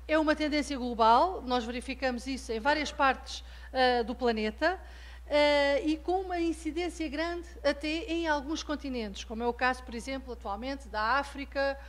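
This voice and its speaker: A woman from Portugal with a European Portuguese accent, giving an academic keynote lecture in a measured way with frequent hesitant fillers. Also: high-pitched. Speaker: guest lecturer. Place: lecture hall.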